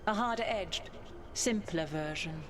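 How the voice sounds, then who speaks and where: A woman's voice: fairly low pitch, measured pace, background noise, natural voice. A woman voicing a proud, bitter ancient goddess spirit in a video game, speaking formally and with command. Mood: imperious, threatening. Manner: haughty, formal, commanding speech. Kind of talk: dramatic video game acting.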